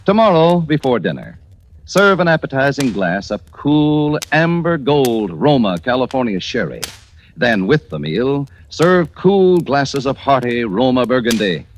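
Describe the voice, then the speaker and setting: A man's voice: mid-range voice, sing-song, a little slow. A man reading a 1940s radio advertisement in a polished, emphatic announcer's style. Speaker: commercial announcer. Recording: hissy old radio recording.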